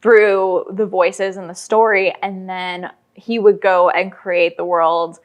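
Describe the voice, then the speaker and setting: A woman talks as a guest in a podcast interview. Her voice sits at a middle pitch for a woman. She explains things in a conversational, illustrative way. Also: flat delivery, slow. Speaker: interview guest, audio drama writer.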